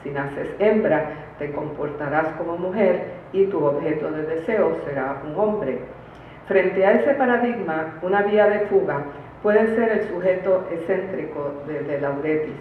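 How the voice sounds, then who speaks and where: A woman delivers a formal conference lecture, reading deliberately from a prepared paper. She is heard from far off in a very echoey room, through thin, phone-like sound. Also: low-pitched. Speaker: academic conference speaker. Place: conference room, recorded from a distance. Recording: distant, very echoey room, phone-like, faint noise.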